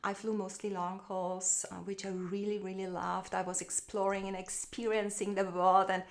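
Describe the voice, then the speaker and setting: Give me a middle-aged woman with an Austrian accent, telling her story in a reflective, halting way. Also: medium pitch, flat delivery. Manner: warm, reflective, frequent fillers and restarts. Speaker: guest, branding expert. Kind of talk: casual conversational storytelling over video call.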